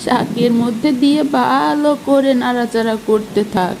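A woman's voice: fairly high pitch, moderate pace, vocal fry, background noise. A woman voicing a kindly, practical older village mother-in-law in a cartoon folk tale, with motherly coaxing.

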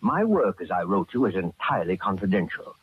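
A man's voice: sits low, big pitch swings, fairly quick, slightly rough, exaggerated voice. An actor playing a fussy, falsely kindly, sinister older employer who dithers and repeats his phrases, in old-time radio drama style. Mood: fussy, affable. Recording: muffled, tinny old radio recording.

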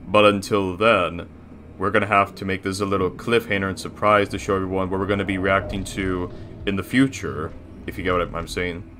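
A man's voice: low voice, fairly bright tone, a little hiss or hum. A man voicing a boastful, bossy cartoon bear boss in rambling, self-satisfied improvised banter. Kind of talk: improvised character reaction banter.

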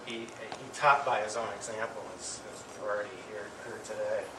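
A middle-aged man giving an affectionate eulogy to an audience, speaking haltingly with pauses and repeated words. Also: lowish voice, level tone. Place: medium-sized indoor hall with audience. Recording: distant mic, echoey room, clear background noise.

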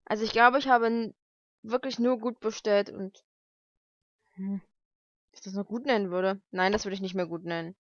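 A woman's voice: medium pitch, fairly even intonation, moderate pace, long pauses, no echo. A young woman chatting casually and thinking aloud as she gives informal unboxing commentary at home. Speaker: vlogger unboxing mail-order books. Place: lived-in room at home, indoors. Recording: dull lo-fi home recording.

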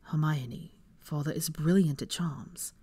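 A woman's voice: deep voice, slow pace, warm tone, husky, heavily acted. A woman voicing an earnest, anxious young male wizard in an audiobook story, in a plain-spoken manner. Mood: soothing.

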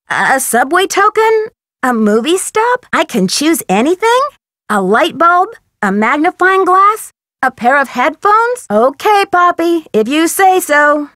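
A woman's voice: sits high, a bit bright, no echo, studio quality, heavily acted. A woman playing a polite, inquisitive, earnest young female amateur detective in scripted adventure game dialogue, speaking articulately. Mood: surprised, hesitant. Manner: polite, articulate, eager questioning.

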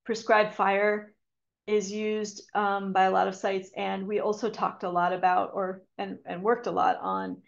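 A woman's voice: medium pitch, little pitch movement, a little slow, creaky. A woman giving a conservation webinar talk over a video call, speaking hesitantly with many ums and restarts. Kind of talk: webinar lecture with slides. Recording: dull lo-fi video call audio.

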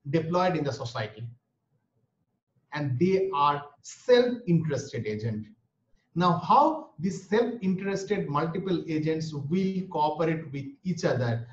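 A man with an Indian English accent, a native Bengali speaker, giving an online lecture and explaining each point twice. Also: on the high side. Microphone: webcam or laptop mic on a video call. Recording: roomy, dull video-call audio.

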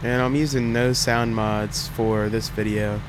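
Young male gaming host, stuffy from a cold, low and flat delivery, engine roar underneath. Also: unhurried. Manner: easygoing, rambling, thinks aloud. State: congested from a cold. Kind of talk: casual gameplay commentary. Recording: loud truck engine game audio behind. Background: loud engine and road noise from the game.

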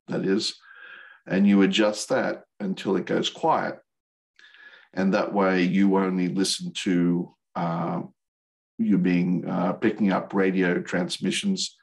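Deep-voiced, gravelly man, slow and hesitant. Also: rough recording. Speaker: presenter, licensed radio operator. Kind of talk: explanatory slide presentation. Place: remote video call webinar.

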